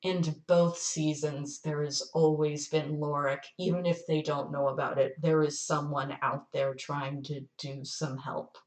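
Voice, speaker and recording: A woman's voice: low voice, little pitch movement, measured pace, few pauses. A woman guest chatting casually on a podcast, recorded in a roomy, echoing space.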